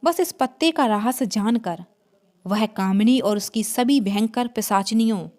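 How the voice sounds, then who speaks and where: A woman's voice: a little high, a little slow, a little mellow. A woman reading a scripted horror story as its narrator.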